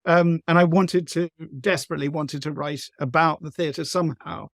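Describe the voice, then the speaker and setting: A man from the east of England with an English accent, a novelist talking thoughtfully in an interview, pausing with frequent ums and restarts. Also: on the high side, steady tone, gravelly, fairly bright tone, no room sound, clean studio sound. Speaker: guest novelist. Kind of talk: conversational interview.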